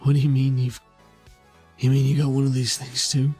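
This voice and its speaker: Man playing a husky, bitter exile. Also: medium pitch, completely flat delivery, moderate pace, dark tone, soft background music, close to an everyday voice. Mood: concerned. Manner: terse, brooding, pointed. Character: bitter, guilt-ridden exiled man who killed his brother. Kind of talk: improvised tabletop roleplay dialogue.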